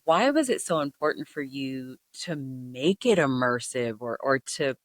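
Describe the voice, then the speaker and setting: A woman hosting a podcast interview, asking unhurried, affirming questions. She speaks at a slow pace. Her voice is dry and close, with no room sound. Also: very deep voice, lively intonation, dry recording. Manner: slow and affirming, with soft "yeah" and "mm-hmm" replies. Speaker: podcast host.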